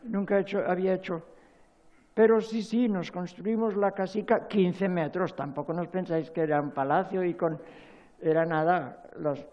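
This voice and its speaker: An older man seated on a stage giving a rambling, emotional talk at a spirituality forum, full of halting restarts. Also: high-pitched, steady tone, mellow, lo-fi sound. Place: large stage, seated on a chair.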